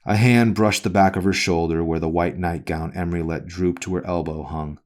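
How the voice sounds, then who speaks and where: A man reading a novel aloud as its author, in a brooding, dramatic narration style with deliberate phrasing. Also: low-pitched, fairly even intonation, a little mellow.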